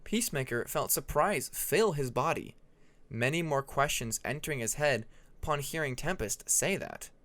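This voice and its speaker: A man's voice: a little high, animated, normal speed, a bit bright. A man reading scripted fantasy fiction as an audiobook narrator.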